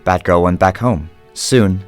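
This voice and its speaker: A man's voice: low-pitched, a little slow, faint music behind. A man narrating a radio drama in a scripted storytelling style.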